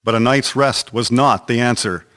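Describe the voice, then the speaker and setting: A man's voice: mid-range voice, moderate pace, fairly bright tone. A man narrating a historical radio drama from a script in a solemn, formal storytelling manner. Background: music.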